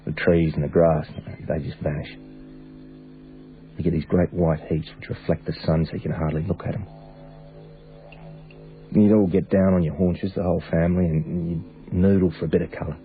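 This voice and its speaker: A man's voice: deep voice, steady tone, normal speed, pauses often. A male actor with an Australian accent playing a wistful, easygoing young traveller from opal country in a radio drama, speaking reflectively and colloquially. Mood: reminiscing, fond. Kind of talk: naturalistic radio drama acting. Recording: dull, phone-like band, faint music.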